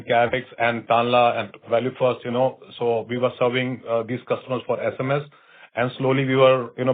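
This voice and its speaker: A man with an Indian accent, a company executive answering on an earnings call in a halting way, often saying "you know". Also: mid-range voice. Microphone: conference call line. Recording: tinny narrow phone line.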